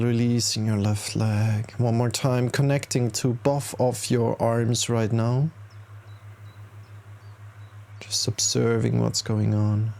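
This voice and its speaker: A man leading a guided meditation as a teacher, speaking calmly in short, unhurried instructions with pauses between them. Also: medium pitch, vocal fry. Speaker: yoga nidra meditation teacher. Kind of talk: guided meditation, body scan.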